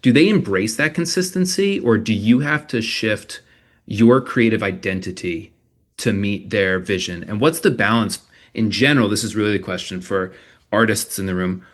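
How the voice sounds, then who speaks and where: A man with a fairly low voice hosts an online panel discussion. He introduces each guest with enthusiasm and strings together long questions with several parts. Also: normal speed. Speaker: panel moderator.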